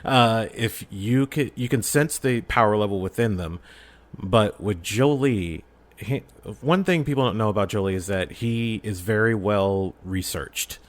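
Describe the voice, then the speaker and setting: Low-voiced man chatting casually. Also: unhurried. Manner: playful, slips into exclaimed mock quotes of characters. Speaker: podcast guest, audio drama creator. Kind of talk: casual chat about game lore.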